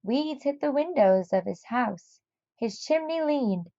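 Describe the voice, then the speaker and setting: A woman reading a children's story aloud in an expressive narrating style. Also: high voice, slow, dry recording, muffled recording. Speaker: storybook reader.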